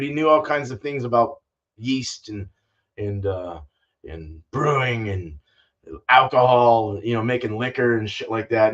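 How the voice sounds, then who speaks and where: A man's voice: mid-range voice, a bit bright, dull audio. An older man chatting casually and laid-back on a livestream, rambling and swearing freely, saying dude and man often. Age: older, fifties or sixties. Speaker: livestream host, homebrewer. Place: small indoor home brewing room.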